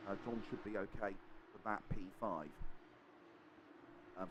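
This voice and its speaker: A man with a sore, hoarse throat, commentating on a sim race. He talks quickly and gets excitable. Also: background noise. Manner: excitable, fast, rises in pitch at the finish.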